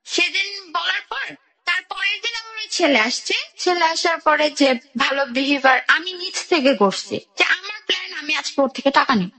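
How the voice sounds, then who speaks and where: High, bright young Bangladeshi woman. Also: expressive, normal speed, poor audio. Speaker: guest telling own life story. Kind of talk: personal storytelling in a radio interview.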